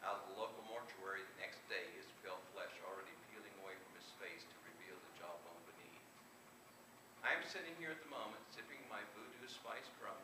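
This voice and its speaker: A man with a fairly low voice reads horror fiction aloud to present his own writing. He is recorded live in a roomy, echoing space, some way from the microphone. Clear noise sits behind his voice. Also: recorded from a distance. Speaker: author reading his work aloud. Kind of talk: live reading of first-person horror fiction. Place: indoor room at a convention reading.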